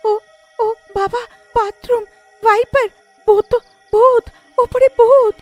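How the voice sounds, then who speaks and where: A woman's voice: very high-pitched, steady tone, slow, no room sound, soft background music, pitched above the performer's own voice. A woman voicing a frightened, bossy young older sister in a cartoon horror story, urgent and pleading. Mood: panicked.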